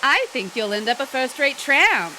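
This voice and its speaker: Woman playing a proud, bright-voiced restless wife. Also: high voice, sing-song, normal speed, slight background noise, heavily acted, rough recording. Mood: scornful. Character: proud, restless young wife of a diner owner. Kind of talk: stage drama performed online.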